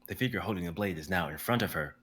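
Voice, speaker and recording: A young man narrating the stage directions of a dramatic reading in a steady, descriptive way. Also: sits low, fast talker, slightly rough. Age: young adult.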